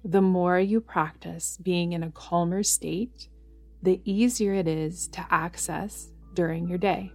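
A woman leading a guided yoga nidra meditation in a gentle, soothing manner, leaving long pauses between phrases. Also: lowish voice, fairly even intonation, gravelly. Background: background music.

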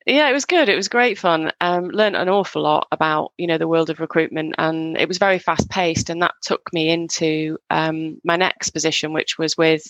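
A woman's voice: low voice, steady tone, moderate pace, few pauses, fairly bright tone, dry recording, lo-fi sound. A middle-aged woman from northwest England, a guest telling her story in a frank, chatty way and laughing easily. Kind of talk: casual interview chat.

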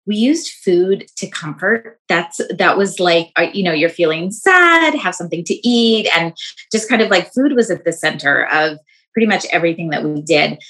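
A woman in her thirties speaks as a podcast guest in a bright, forceful voice. She sits a little low in pitch and talks in quick, chatty bursts full of fillers. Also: animated. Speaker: guest, wellness coach. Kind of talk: casual interview chat.

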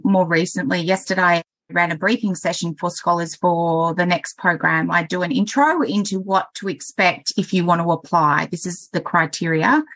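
A woman explaining her work as a podcast guest, committed and often saying "you know". Her voice is lower than most women's, and the recording is dry and close. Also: fairly bright tone, dry recording, rough recording. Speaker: foundation manager, guest. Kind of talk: podcast interview.

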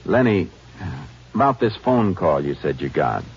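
An actor playing a calm, steady Texas Ranger in an old radio drama, speaking in a level, firm and probing way. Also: male voice, deep voice, unhurried, husky, full character voice. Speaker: lead and narrator of the drama. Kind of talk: 1950s radio drama acting. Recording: muffled old radio broadcast with hiss.

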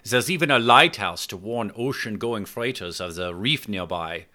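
A man's voice: lowish voice, animated, normal speed, bright, forceful tone. A man voicing an easygoing, good-humored retired male captain in audiobook dialogue. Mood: matter-of-fact.

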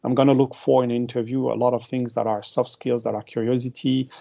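A man's voice: medium pitch, level tone, fast, creaky, dark tone. A man with a French accent, a marketing executive answering interview questions with many hesitations and restarts.

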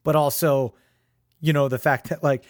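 A man's voice: on the high side, steady tone, moderate pace. A man hosting a business podcast, upbeat and chatty, interviewing a guest over a remote call with many friendly restarts. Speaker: podcast host. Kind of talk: casual interview chat.

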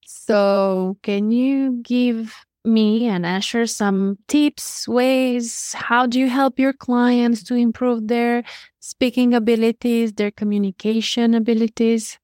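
A woman's voice: on the high side, steady tone, takes it slowly, a little mellow, no echo, studio quality. Romanian-accented woman podcast co-host. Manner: sometimes searches for words, friendly. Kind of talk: casual podcast conversation.

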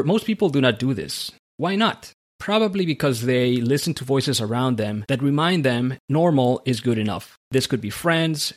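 A man hosting a podcast, reading a motivational script in an earnest tone, then interviewing a guest. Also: medium pitch. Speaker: podcast host.

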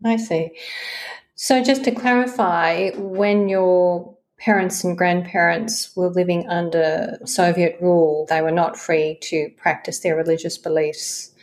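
Woman interviewer, slightly low voice, measured questions. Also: steady tone. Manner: measured, with quiet backchannels.

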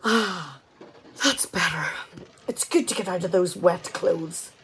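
An actress playing an exasperated, sarcastic wife in a radio play comedy, talkative and full of indignant questions. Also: female voice, fairly low pitch, slow, very noisy. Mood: settled.

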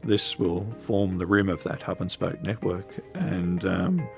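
Low gravelly guy, kinda husky, council director talking roads with lots of ums. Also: faint music behind. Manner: measured, with frequent ums. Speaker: council technical services director. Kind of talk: interview answers.

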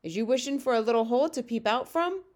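A woman voicing a gentle, friendly giant man with mangled folksy grammar and an earnest manner, as in a storybook read-aloud. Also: fairly high pitch, lively intonation, fast talker, voice pushed up, natural voice. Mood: kindly.

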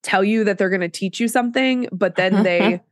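A woman's voice: medium pitch, normal speed, no echo, studio quality. A woman hosting a podcast, stuffy from a cold, talking casually with lots of fillers. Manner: chatty, many fillers and self-interruptions. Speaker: podcast host writing a book.

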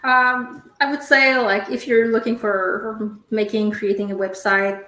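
A woman's voice: fairly high pitch, level tone, a little slow, pushed and bright. A woman who is not an English speaker answering interview questions, hesitant with many uhs and restarts. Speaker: guest, company sales contact. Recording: roomy echo.

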